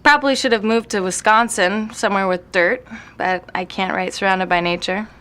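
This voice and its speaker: A woman's voice: medium pitch, fairly even intonation, normal speed, fairly bright tone, close to an everyday voice. A woman playing a jaded, self-deprecating night-owl composer in an audio drama, rambling bluntly with sardonic, slangy swearing. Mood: opinionated, self-deprecating. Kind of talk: naturalistic audio drama interview acting. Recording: noisy room recording.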